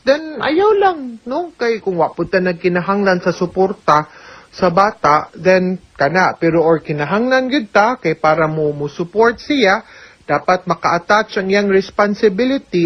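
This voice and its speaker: Cebuano-accented woman adviser, dull lo-fi sound. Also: on the low side. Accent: Cebuano Filipino. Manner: conversational, step by step, often checking with "no?". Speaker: legal adviser on a radio programme. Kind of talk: explanatory advice, answering listener questions. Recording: dull, lo-fi radio audio.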